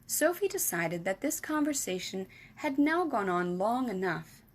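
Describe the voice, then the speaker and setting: A woman's voice: medium pitch, big pitch swings, measured pace. A woman storyteller reading a children's book aloud as narrator.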